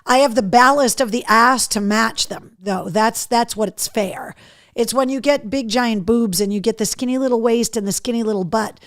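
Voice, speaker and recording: Slightly hoarse, higher-pitched woman podcast host. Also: normal speed, no room sound, studio quality. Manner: candid, cheeky, mildly sweary. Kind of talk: casual live chat to viewers, reading out comments.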